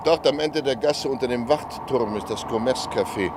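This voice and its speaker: An actor in a radio play as a reserved, cryptic local man, formal and measured in short phrases. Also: medium pitch, lightly acted. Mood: cryptic, ominous. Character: reserved, cryptic male townsman, formal and ominous. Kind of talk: German radio play acting. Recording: outdoor street ambience noise.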